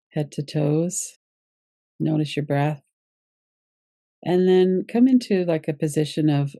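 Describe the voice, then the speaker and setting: Very mellow, creaky-voiced woman guiding meditation. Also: sits low, no echo, studio quality. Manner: gentle, unhurried instruction with pauses. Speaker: guided meditation teacher. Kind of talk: guided yoga nidra meditation, unscripted instruction.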